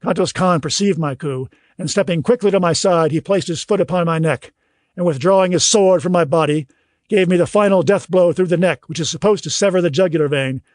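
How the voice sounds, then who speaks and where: A man reading scripted audiobook narration in a measured, literary storytelling manner. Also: sits high, darkish tone, dry recording.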